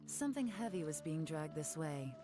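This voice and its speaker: A woman voicing a calm, shrewd female investigator in a video game, measured and analytical, with game music behind. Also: deep voice. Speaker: game character. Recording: game audio with background music.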